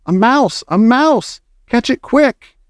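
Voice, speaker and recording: A man voicing hostile kitchen cooks in a storybook, shouting urgent commands. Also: extremely high pitch, animated, takes it slowly, smooth, no room sound, clean studio sound, voice pushed up, heavily acted. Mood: alarmed, furious. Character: hostile kitchen cooks yelling to catch a mouse. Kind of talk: storybook character voice.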